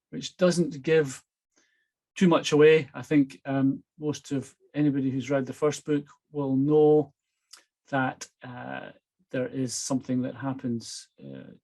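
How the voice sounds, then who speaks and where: A man's voice: medium pitch, a little mellow. A Scottish man, an author, chatting and reading aloud from his own novel. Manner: enthusiastic, chatty.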